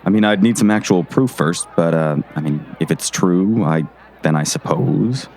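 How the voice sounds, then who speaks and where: A man's voice: deep voice, normal speed, a little mellow, a little hiss or hum. A man playing an earnest, hesitant small-town sheriff in an audio drama. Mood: concerned.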